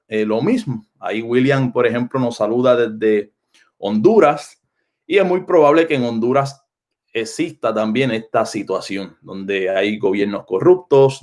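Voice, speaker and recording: A man's voice: fairly low pitch, a little mellow. A man with a Puerto Rican Spanish accent, a pastor talking off the cuff to viewers on a live stream, often repeating phrases and restarting his sentences. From Puerto Rico. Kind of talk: unscripted livestream talk to viewers. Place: home, Florida.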